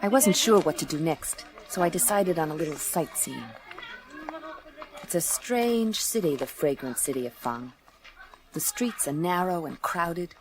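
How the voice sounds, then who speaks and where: Tough, wry space detective. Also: female voice, lowish voice, animated, unhurried, a crowd in the background. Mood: moody, wry. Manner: clipped, sardonic noir delivery. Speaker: lead narrator. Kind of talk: first-person radio play narration.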